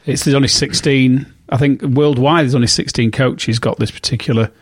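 A man co-presents a casual podcast chat and often stalls while he searches for the right words. His voice sits at a middle pitch, and the recording is dry and close. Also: steady tone, moderate pace, breathy edge, no echo.

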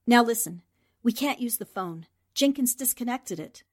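An actress playing a resolute, quick-witted newspaperwoman in a 1940s-style radio drama. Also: fairly high pitch, lively intonation. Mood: urgent, resolute. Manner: decisive, urgent instructions. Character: Anne Rogers, quick-thinking, resolute newspaperwoman heroine.

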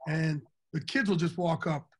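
A middle-aged man with a big, enthusiastic voice, pitched a bit higher than most men. He answers interview questions in a candid, from-the-heart way. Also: steady tone, moderate pace, fairly bright tone, husky. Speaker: interview guest. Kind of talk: casual interview talk.

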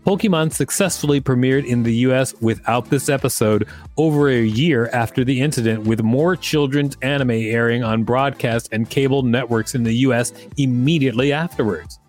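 A man's voice: mid-range voice, normal speed, a little mellow, soft background music. A man co-hosting a podcast, reading an article aloud and breaking off for dry joking asides. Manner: dry, joking asides between read passages. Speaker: podcast co-host.

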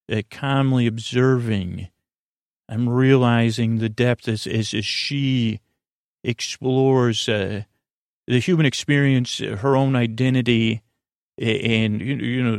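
A man hosting a bedtime podcast, talking in a meandering, halting way with stammers and tangents, meant to soothe. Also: mid-range voice, dry recording, clean studio sound. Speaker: podcast host.

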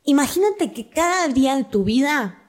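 A woman's voice: high-pitched, big pitch swings, moderate pace. A young woman with an Argentine accent, a comedic actress telling stories animatedly as a podcast guest and acting out quoted dialogue. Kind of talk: casual interview chat.

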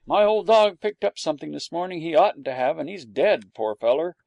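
A man puts on a grudging old caretaker from a storybook, his voice pushed up high and gravelly. He talks readily, though not very cordially. The sound is close and dry. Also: expressive, brisk, darkish tone, no echo, lo-fi sound. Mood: chatty.

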